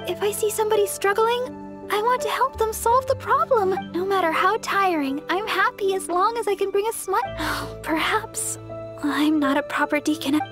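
A woman voicing a sweet, earnest, selfless young church deaconess in an anime-style video game, speaking gently and politely. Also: very high voice, normal speed. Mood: gentle, wistful. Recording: music behind the voice.

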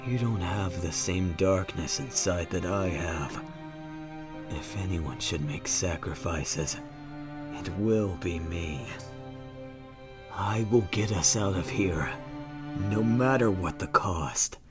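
A man voicing a weary, secretive, obsessed monster scientist in a comic dub, hushed and brooding. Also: sits low, takes it slowly, loud music behind the voice. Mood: brooding resolve.

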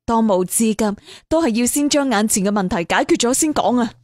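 Man voices young noble hero for martial-arts audiobook: much higher pitch, composed, reasoning, close dry sound. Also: lively intonation, fairly quick, a little mellow, no room sound. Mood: composed, practical.

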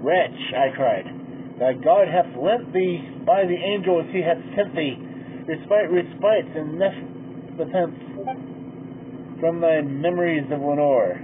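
A man reads a poem aloud in a drawn-out, theatrical way and rises to loud, pleading bursts. The sound is thin and boxy, like a phone line. A steady hum runs under his voice. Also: a little high. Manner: slow, theatrical delivery that swells loud in the narrator's pleas. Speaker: poetry reader. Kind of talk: dramatic poem reading. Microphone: poor-quality mic.

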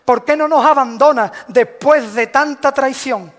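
A man's voice: very high voice, unhurried, fairly bright tone. A man solemnly reciting a rhymed devotional proclamation as a Holy Week proclaimer, in a declamatory manner. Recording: long hall reverb.

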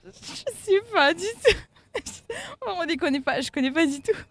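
A woman's voice: very high-pitched, animated, measured pace, fairly bright tone, slight background noise. A teenage girl answering a street interview outdoors, shy and giggly. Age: teens. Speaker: passer-by.

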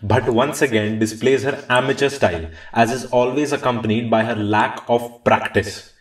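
A man's voice: medium pitch, fairly even intonation, normal speed, lightly acted. Guy doing a mocking ghost critic for a radio play, pompous, echoey and kinda far from the mic. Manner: pompous, theatrical critic-like recitation. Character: mocking male ghost of awful theatre reviews.

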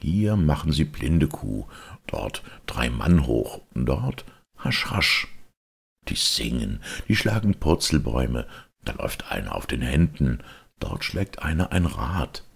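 A middle-aged man reading a children's story aloud as an expressive audiobook narrator. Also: extremely low pitch, slow pace. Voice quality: gravelly, husky.

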